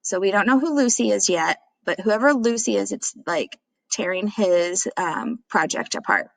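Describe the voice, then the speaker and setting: A woman reads a story aloud in a teacherly way, medium in pitch and expressive. She steps out of the narration to explain things plainly. The sound is dry, with very little room. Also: moderate pace, vocal fry, no echo.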